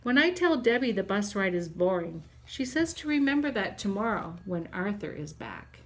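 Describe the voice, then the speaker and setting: A woman reading a children's storybook aloud like a teacher, in an expressive, deliberate storytelling manner. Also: medium pitch, rough recording.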